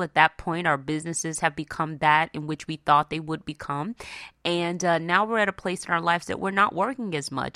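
American woman radio host, bright forceful voice, super dry sound, chatty motivational talk right at the listener. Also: low voice, no echo. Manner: conversational, addresses listeners directly with frequent "right?" and "okay?".

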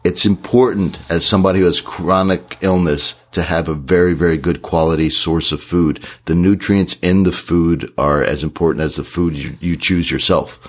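A man, hoarse from shouting, co-hosting a podcast in a casual, encouraging way. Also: very deep voice. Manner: earnest, encouraging advice. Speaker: podcast co-host.

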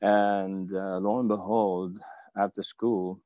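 A man in his fifties from Afghanistan telling his own story as an interview guest, with a halting, hesitant delivery. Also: low-pitched, flat delivery, no echo, dull audio. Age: 50s. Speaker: guest, martial arts teacher.

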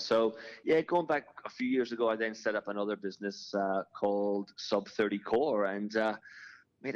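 A middle-aged Irish man with a fairly low voice chats easily as a podcast guest, sprinkling in "mate" and restarting his sentences. The sound is slightly dull, as if recorded with a lo-fi mic. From Ireland. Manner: relaxed and chatty, with frequent restarts and "mate". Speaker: guest, fitness business founder. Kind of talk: conversational interview.

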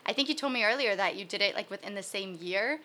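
A woman's voice: mid-range voice, quick pace, pushed and bright. A woman in her twenties hosting a casual interview, chatty and informal in how she asks questions. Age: 20s. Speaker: podcast host.

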